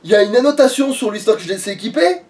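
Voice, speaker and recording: A man's voice: high voice, very animated, very fast, distant mic, crowd noise. A man chatting casually while playing a video game, talking colloquially and swearing often. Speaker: let's play gamer. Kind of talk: casual gaming chat.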